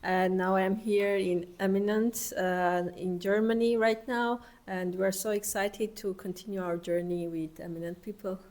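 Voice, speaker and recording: Woman, twenties, Armenian accent, average pitch, student guest, conversational answers. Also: steady tone, unhurried, hardly any pauses, a little mellow. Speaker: master's student, podcast guest.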